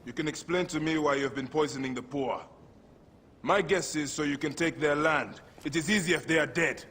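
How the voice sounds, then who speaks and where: An actor playing a stern, righteous warrior hero in a video game, intense and formal in phrasing. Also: male voice, fairly high pitch, a little fast, a bit bright, a little hiss or hum, lightly acted. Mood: accusing. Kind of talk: video game character acting.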